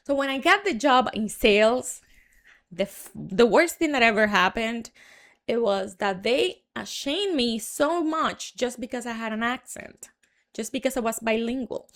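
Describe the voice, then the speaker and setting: A woman's voice: on the high side, fairly bright tone. A young woman with a Dominican Spanish accent tells her story in a frank, chatty monologue, full of fillers and restarts. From Dominican Republic. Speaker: marketing entrepreneur.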